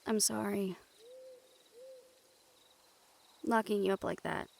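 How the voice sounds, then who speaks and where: A woman acting in an intimate audio roleplay as a remorseful, weary young woman with dangerous powers, speaking softly and hesitantly to the listener. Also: mid-range voice, fairly even intonation, brisk, vocal fry, a little hiss or hum. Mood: self-reproachful.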